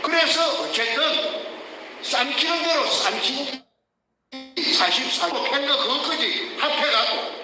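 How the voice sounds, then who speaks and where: An older man with a Gyeongsang Korean accent gives heated, emphatic testimony, often shouting rhetorical questions. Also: very high-pitched, a little slow, faint music behind. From South Korea. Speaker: whistleblower. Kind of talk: emotional testimony. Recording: echoey distant room with noise.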